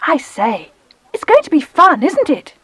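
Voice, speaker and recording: A woman playing an excitable, sweet young girl with an eager, exclaiming manner in a children's audiobook drama. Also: high-pitched, unhurried, no room sound, heavily acted. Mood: sympathetic, upbeat.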